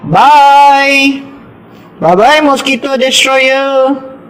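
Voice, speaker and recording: A woman's voice: sits high, drawn-out words, rough recording. A woman voicing an eager, polite young schoolboy in an amateur puppet show, with an exclamatory recited delivery.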